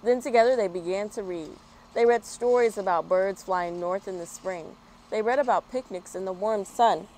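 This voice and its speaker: A woman hosting a children's story time, reading and chatting in an expressive manner. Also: mid-range voice, poor audio. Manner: expressive, spells words out slowly. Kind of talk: children's picture book read-aloud. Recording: clear background noise.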